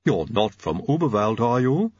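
A man voicing a gruff, blunt, loyal servant from a far country who grumbles in a growl, in an audiobook. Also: medium pitch, sing-song, moderate pace, darkish tone, dry recording, muffled recording. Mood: sceptical.

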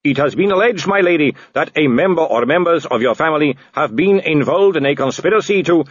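A man's voice: fairly high pitch, lively intonation, brisk, no room sound, dull audio, pitched above the performer's own voice. A man playing a stiff, dutiful guard captain who speaks in a wooden, formal way. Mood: stern.

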